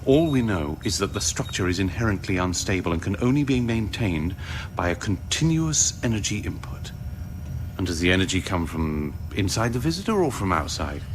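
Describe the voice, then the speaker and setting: An actor playing a blunt, cynical scientist in a radio drama, with a sardonic, argumentative way of talking. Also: male voice, low-pitched, very animated, normal speed, noisy background. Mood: dismissive, explaining. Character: cynical, blunt male scientist, world-weary realist.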